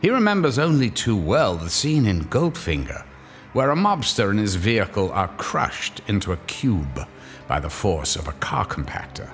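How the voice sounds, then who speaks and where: An actor playing a grim, sardonic narrator of horror tales, giving dramatic scripted narration. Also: male voice, lowish voice, big pitch swings, normal speed, fairly bright tone, soft background music. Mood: sardonic, ominous.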